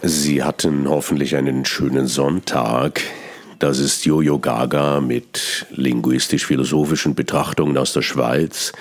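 A man from Bern with a Swiss German accent, hosting a musing radio talk on language with small restarts and pauses. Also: extremely low pitch, fairly even intonation. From Switzerland (Bern). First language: Bernese Swiss German. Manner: musing, digressive, with restarts and ähs. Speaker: radio host, satirist. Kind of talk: spoken philosophical radio column.

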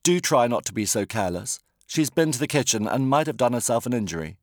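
A man's voice: mid-range voice, lively intonation, quick pace, no echo, lightly acted. A man playing a brusque, sardonic woman doctor in an audiobook novel, curt and dry. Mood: crisp, irritated.

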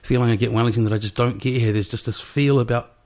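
A man's voice: mid-range voice, steady tone, quick pace, a little mellow. A man from New Zealand with a Kiwi accent, hosting a casual interview chat from his small apartment, rambling with many restarts. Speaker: podcast host. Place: small rented studio apartment.

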